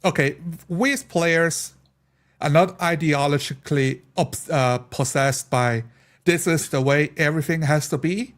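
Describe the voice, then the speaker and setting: Man, slightly high voice, casual tabletop discussion, rambling, emphatic, voices imagined quotes. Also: a little slow. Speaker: tabletop roleplay player. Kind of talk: casual podcast-style discussion.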